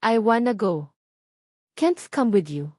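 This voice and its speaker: A woman's voice: mid-range voice, big pitch swings, takes it slowly, a little mellow, no echo, clean studio sound. A woman with a Filipino accent voicing a generous, concerned, protective man in a narrated romance story. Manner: short caring questions, mixes English and Tagalog.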